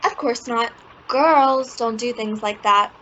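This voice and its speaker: A woman acts a haughty teenage girl in an old radio family show. She drawls slowly and scornfully in a high voice. The sound is muffled and very noisy. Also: a bit bright. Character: bossy, superior teenage girl, the elder sister. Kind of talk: old-time radio sitcom acting.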